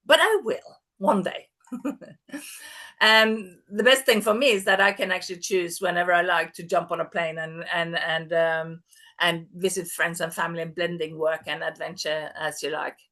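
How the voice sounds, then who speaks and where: A woman's voice: on the low side, fairly even intonation, normal speed, bright, forceful tone. Upbeat woman in her fifties. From Norway. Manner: upbeat and chatty. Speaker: masterclass presenter. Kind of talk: online workshop talk. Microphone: video call.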